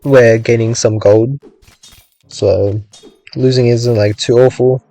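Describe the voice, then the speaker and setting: Young man, casual gaming commentary, rambling, raspy, mellow tone, dry close sound. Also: medium pitch, steady tone, takes it slowly, no echo, soft background music. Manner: rambling, thinks aloud, many "like"s and hedges. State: raspy from long talking. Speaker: gaming streamer.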